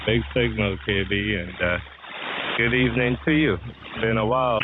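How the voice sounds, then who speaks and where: A lowish-voiced man comes through a narrow, phone-like ham radio transmission. Clear static noise sits around his call signs and casual chat. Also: normal speed. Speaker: amateur radio caller.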